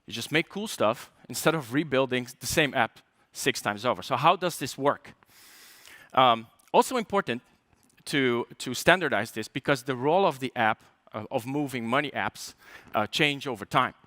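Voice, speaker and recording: A man's voice: medium pitch, lively intonation, normal speed, bright, forceful tone, no echo, clean studio sound. Chatty Polish man giving a tech talk. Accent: light Polish. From Poland. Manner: chatty, joking asides, frequent fillers and restarts. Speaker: conference speaker.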